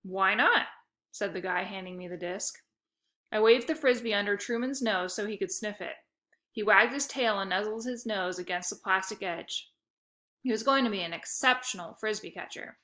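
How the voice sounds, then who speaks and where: A woman reading a children's novel aloud with a lively, expressive storytelling manner. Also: mid-range voice, moderate pace. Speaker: reader.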